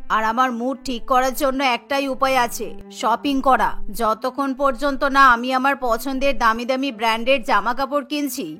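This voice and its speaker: A woman's voice: high voice, fairly even intonation, moderate pace, pushed and bright, faint music behind. A woman voicing a vain, spoiled middle-aged mother-in-law who loves shopping, speaking in a haughty, dismissive way.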